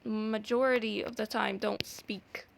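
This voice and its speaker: Young woman, arabic is her first language, slow and halting, lots of ums, a bit of vocal fry. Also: mid-range voice, steady tone, background noise. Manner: hesitant, many ums and restarts. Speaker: art vlogger. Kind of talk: casual voice-over tutorial.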